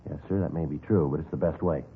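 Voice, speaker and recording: An actor playing a polite, businesslike police detective in a vintage radio drama, deadpan, clipped and courteous. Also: male voice, very deep voice, fast. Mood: calm, firm. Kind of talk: understated 1950s radio acting. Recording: tinny, noisy vintage radio recording.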